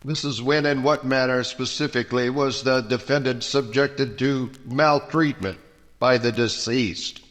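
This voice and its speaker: A man's voice: mid-range voice, steady tone, unhurried, a bit bright, natural voice. Male actor as pointed small-town prosecutor; formal, oratorical radio drama delivery, hall reverb, faint music behind. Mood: probing. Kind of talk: old-time radio drama acting.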